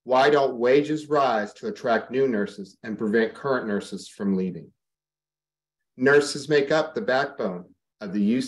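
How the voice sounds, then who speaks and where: A man reading a prepared campaign speech as a board candidate, steady and serious. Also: medium pitch.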